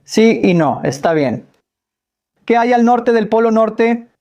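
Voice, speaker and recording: A woman's voice: on the high side, expressive, slow pace, dark tone, muffled recording. A woman giving a question-and-answer lecture, explaining patiently and quizzing her listeners with light jokes. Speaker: speaker answering audience questions on Islam. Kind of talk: Q&A lecture in Spanish voice-over.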